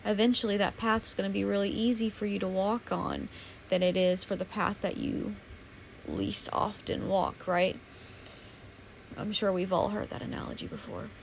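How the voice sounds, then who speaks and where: A young woman hosting a casual solo podcast with a naturally low voice, talking in a candid, rambling way and swearing casually. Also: little pitch movement, normal speed, creaky, slight background noise, low-quality recording. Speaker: podcast host.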